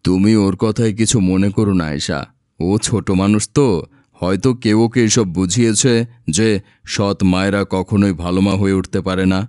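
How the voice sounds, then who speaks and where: Man acting as cartoon village dad, lowish voice, gentle, reassuring, measured, dry studio sound. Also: fairly even intonation, clear, resonant tone, no room sound, natural voice. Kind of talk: animated moral story voice acting.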